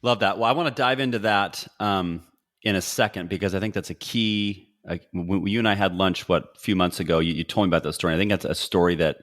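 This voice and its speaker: Middle aged podcast host guy, low voice, chatty, kinda winds around before he gets the question out. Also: fast talker, a bit bright. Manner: rambling, multi-part questions. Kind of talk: casual conversational interviewing.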